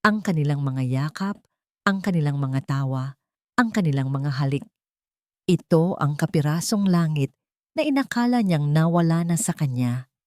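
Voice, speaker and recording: A woman's voice: low-pitched, expressive, normal speed, a little mellow, no echo, clean studio sound. A woman with a Filipino accent, reading a scripted love story as a dramatic audiobook narrator.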